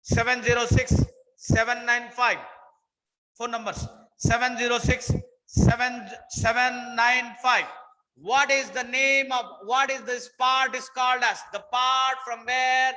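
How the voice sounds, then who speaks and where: High-voiced Indian man teaching botany. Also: level tone, unhurried, pushed and bright, long reverb tail, poor audio. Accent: Indian English. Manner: repetitive, questioning students, mixes in Hindi. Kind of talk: online botany lesson.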